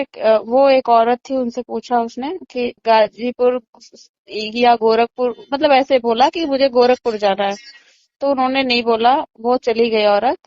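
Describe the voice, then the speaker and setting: Woman eyewitness, higher-pitched voice, conversational recounting, muffled phone-call audio, dry sound. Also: darkish tone, no room sound. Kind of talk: casual recounting of events. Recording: dull lo-fi phone call recording.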